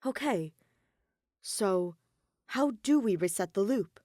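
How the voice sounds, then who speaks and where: A woman's voice: mid-range voice, animated, slow pace, dark tone, dry recording. Woman as an anxious, stammering young man, dry sound. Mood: bewildered. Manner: hesitant, stammering, urgent questioning.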